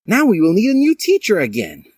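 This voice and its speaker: A man plays a scheming, irritable professor in a comic story reading. The voice is pushed up high and sneering, with big swoops, recorded dry. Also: wildly swooping pitch, brisk, darkish tone, slightly rough, dry recording, heavily acted. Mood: exasperated. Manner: exclaiming, sneering. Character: irritable, scheming male school professor from fan fiction.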